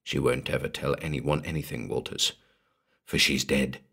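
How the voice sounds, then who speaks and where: A man voicing a hushed, husky, murderous man. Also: low voice, sing-song, heavily acted. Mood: cold, murderous calm. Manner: slow, gentle, taunting. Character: softly menacing, vengeful murderous man. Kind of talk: dramatic audiobook character reading.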